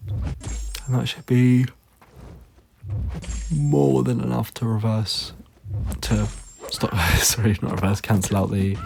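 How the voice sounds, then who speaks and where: A man with a hoarse, fading voice, giving tired, laid-back gameplay commentary full of ums. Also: medium pitch, little pitch movement, slow, long pauses. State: hoarse, losing his voice; tired, yawning late at night. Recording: noisy recording with clicks.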